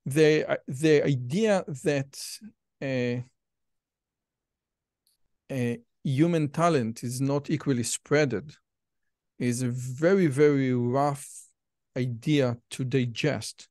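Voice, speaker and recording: Slow-talking host, dry sound. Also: male voice, mid-range voice, a little mellow, no room sound. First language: Hebrew. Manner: slow, hesitant, many fillers, enthusiastic laughter. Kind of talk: casual interview.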